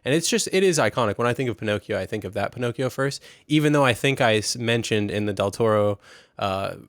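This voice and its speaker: A man's voice: fairly low pitch, animated, fast, no echo, studio quality. A man co-hosting a podcast, talking casually and thoughtfully, often trailing off and restarting. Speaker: podcast co-host.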